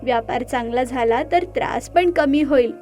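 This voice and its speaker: A woman voicing a gentle, encouraging mother in an animated moral story, within her narration. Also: high voice, moderate pace, soft background music, natural voice.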